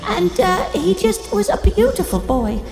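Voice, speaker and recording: A very high, theatrical gorgon hostess. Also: female voice, sing-song, measured pace, creaky, darkish tone, full character voice. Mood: wistful, coy. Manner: theatrical, hesitant, giggly. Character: Medusa, coy, gossipy, lonely, hospitable gorgon hostess. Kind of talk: improvised tabletop roleplay. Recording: echoey hall, music underneath.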